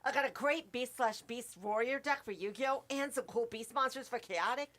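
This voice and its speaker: A woman acts out a snarky schoolgirl misfit in an amateur audio play. Her voice sits on the high side, and she answers with dry, mischievous sarcasm. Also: moderate pace, fairly bright tone, lightly acted. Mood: upbeat. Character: sullen, sarcastic schoolgirl furry, mischievous prankster. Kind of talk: amateur live radio play.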